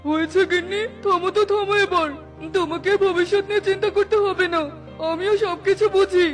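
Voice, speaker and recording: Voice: very high-pitched, steady tone, brisk, music in the background. An actor playing a self-pitying, scheming crow husband in a theatrical children's cartoon style. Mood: dismissive.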